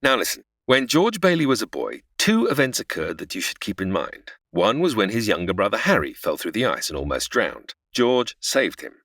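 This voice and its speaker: Very low, dry-recorded, stern heavenly supervisor, formal, exasperated, comedy audio drama. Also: female voice, sing-song, moderate pace, few pauses, a bit bright, dry recording, heavily acted. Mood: patient narrating, stern. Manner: formal, impatient, sighing.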